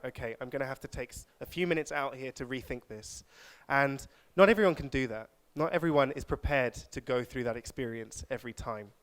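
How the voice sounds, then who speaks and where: A man speaking at a conference, hosting audience questions and then giving measured, reflective closing remarks. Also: medium pitch, clear, resonant tone. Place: lecture hall.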